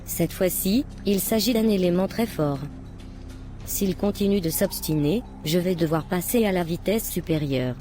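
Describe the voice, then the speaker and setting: Cartoon villain, played by a woman: occult grand master, very dark low voice, cold, commanding, menacing. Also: normal speed, soft background music, lightly acted. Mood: ominous.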